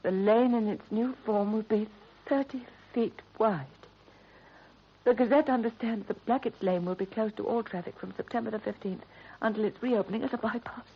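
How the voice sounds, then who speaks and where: Shrewd, anxious British wife played by a woman, earnest and deliberate, dull vintage radio sound with faint hiss. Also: on the high side, close to an everyday voice. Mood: tense, reading. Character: worried, shrewd, loyal wife, adult woman. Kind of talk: period British radio drama acting.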